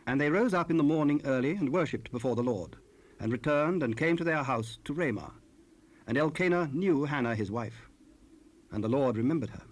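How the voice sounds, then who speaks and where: Measured male scripture narrator, medium pitch. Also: animated. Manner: measured, formal.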